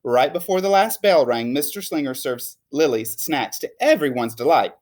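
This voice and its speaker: A woman reading a children's storybook aloud in an expressive, emphatic way. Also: deep voice, normal speed. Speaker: teacher reading a picture book aloud. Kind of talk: animated storybook read-aloud.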